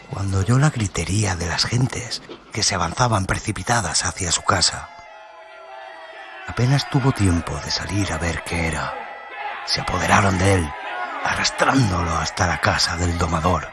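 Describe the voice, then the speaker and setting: Narrador de voz muy grave. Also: male voice, sits low, normal speed. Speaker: storyteller, narrator. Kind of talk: dramatic scripted story narration. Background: loud music bed.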